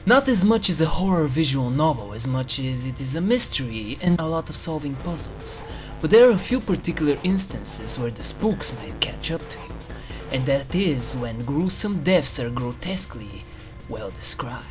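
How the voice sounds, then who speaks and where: Review host, medium-pitched voice, scripted narration with theatrical, drawn-out delivery and dry wit, over prominent eerie music. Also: big pitch swings. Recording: music under the voice. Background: eerie game soundtrack music.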